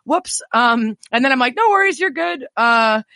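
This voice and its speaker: A woman podcast host with a low voice, chatting in an engaged way and sharing her own experiences with her guest. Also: lively intonation, normal speed, bright, forceful tone, no room sound. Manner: chatty, engaged, asks questions. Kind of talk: casual interview conversation.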